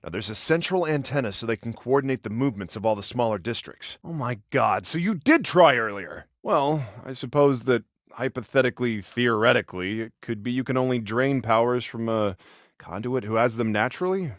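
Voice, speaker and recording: A man's voice: medium pitch, animated, brisk, no room sound, studio quality, close to an everyday voice. A man with an American accent playing a protective, exasperated cop and older brother in a video game, earnest, bossy and wry. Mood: coaxing, exasperated.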